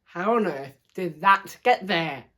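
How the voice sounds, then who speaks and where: A man voicing a brisk, exasperated female primary school teacher in a storybook, scolding in a halting way. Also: high voice, lively intonation, bright, forceful tone, heavily acted.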